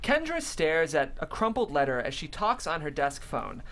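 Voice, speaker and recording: A woman's voice: low-pitched, wildly swooping pitch, moderate pace, fairly bright tone. A woman reading scripted stage directions as narrator at a comedy table read.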